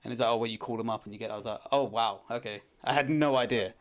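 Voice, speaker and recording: A man talks quickly in a loose podcast conversation, tripping over the first words of his sentences and laughing along as he goes. His voice sits at a middle pitch. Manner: quick, chatty, laughs often, stammers at starts. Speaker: podcast co-host. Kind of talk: casual chat.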